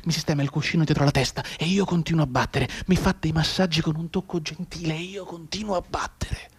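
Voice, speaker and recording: A wounded man confined to his bed, speaking his thoughts in a dramatic radio monologue. His voice is a little high and rough-edged, husky throughout. He shifts between hushed brooding and anguished, urgent pleading. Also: steady tone, quick pace. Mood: tormented, subdued. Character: mute, bedridden wounded man desperately tapping out Morse code.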